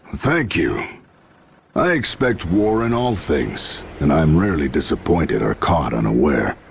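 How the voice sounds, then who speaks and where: An actor playing a gruff, proud human military general in a video game, speaking formally and sternly. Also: male voice, rumbling low, very animated, measured pace, a little hiss or hum, heavily acted. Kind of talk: dramatic video game voice acting. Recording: music under the voice.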